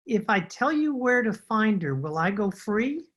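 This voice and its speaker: A woman playing a nervous, evasive man in a radio detective drama, husky, hesitant and formally polite. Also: mid-range voice, big pitch swings, measured pace. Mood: pleading, frightened. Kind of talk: old-time radio detective acting. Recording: home recording over video call.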